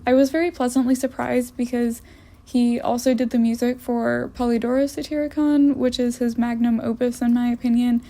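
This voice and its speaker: Wry, mellow-voiced young woman. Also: on the high side, little pitch movement, normal speed. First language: English. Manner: wry, opinionated. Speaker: vlogger reviewing films. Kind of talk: casual voice-over commentary.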